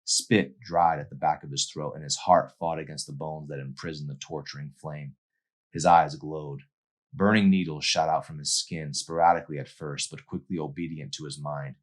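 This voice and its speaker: A man's voice: a bass voice, steady tone, moderate pace. A man reading a novel aloud in an intense, theatrical narrating style. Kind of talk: dramatic audiobook narration.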